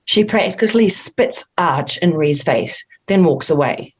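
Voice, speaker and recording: A woman's voice: fairly low pitch, animated, a little slow, slightly rough. Woman reading stage directions. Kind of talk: table read narration.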